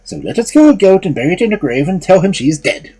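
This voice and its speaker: A man plays an insistent storybook relative, explaining earnestly. The voice is raised and very husky, with wide swings in pitch. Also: big pitch swings, quick pace, mellow, full character voice. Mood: confessing. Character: insistent kinsfolk of the hero, explaining and confessing.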